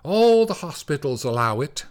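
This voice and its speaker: A man voicing an anxious, polite little mother field mouse, pleading in a high, wavering voice. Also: sing-song, normal speed, clear, resonant tone.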